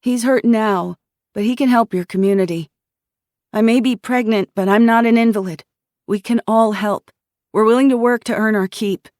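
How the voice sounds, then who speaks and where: A woman's voice: a little high, steady tone, normal speed, a little mellow, no room sound, studio quality, voice pushed up, lightly acted. A woman narrator voicing a pregnant refugee woman, a schoolteacher who pleads earnestly for shelter and speaks with a faint twang.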